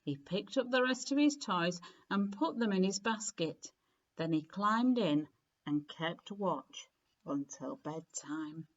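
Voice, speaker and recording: A woman narrates a children's story with a lowish voice and very lively intonation. She is deliberate and unhurried, placing dramatic emphasis on phrases. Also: muffled recording. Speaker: story reader.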